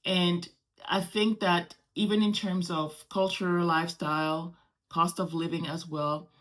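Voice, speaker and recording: Low-pitched woman from Morocco, native French speaker. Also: flat delivery, unhurried, a bit bright. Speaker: host. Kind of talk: casual vlog monologue.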